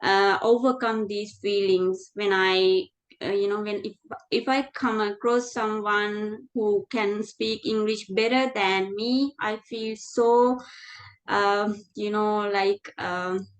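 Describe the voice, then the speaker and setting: A woman hosts a relaxed interview, speaking slowly and with a middle-pitched voice. Her talk is friendly and slightly halting, with many fillers and small restarts. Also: poor audio. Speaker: interview host. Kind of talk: casual conversation.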